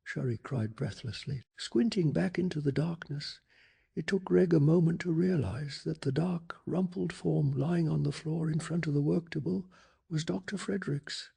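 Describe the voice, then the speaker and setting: A man narrating a bedtime story in a soft-spoken ASMR style, with a breathy, hushed and dramatic delivery. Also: fairly high pitch, moderate pace.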